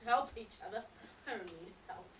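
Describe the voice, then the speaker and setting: A woman playing a bitter, jealous dead soul trapped in hell, with theatrical, scornful, drawn-out delivery in a stage drama. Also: mid-range voice, expressive, lightly acted. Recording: distant mic, faint background noise.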